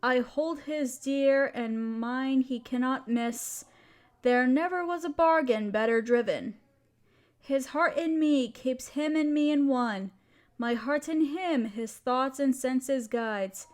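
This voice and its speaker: A woman's voice: high voice, takes it slowly. A woman playing a playful, affectionate wife who loves poetry, theatrical and teasing, in a romantic audio roleplay. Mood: tender reciting.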